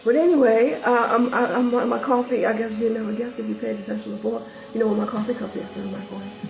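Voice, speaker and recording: A woman's voice: fairly high pitch, fairly even intonation, fast talker, vocal fry, poor audio. A woman raised in Georgia with a Southern accent, chatting casually on her vlog and rambling with lots of restarts. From born in Maryland, raised in Georgia. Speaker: vlogger. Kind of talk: casual chat to camera. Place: visiting a home in California. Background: music playing in the background.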